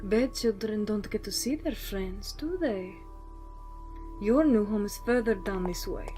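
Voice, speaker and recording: Over clear background music, a cold female villain speaks, played by a woman. She is a commanding jailer in an audio drama, middling in pitch, formal and condescending. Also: expressive, unhurried, mellow, natural voice. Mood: stern, contemptuous.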